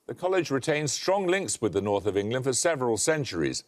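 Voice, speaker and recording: Male quiz host, dry wit, animated pitch, studio read. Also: a little fast. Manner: dry, wry delivery with lively intonation. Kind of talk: scripted quiz presenting. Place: television studio.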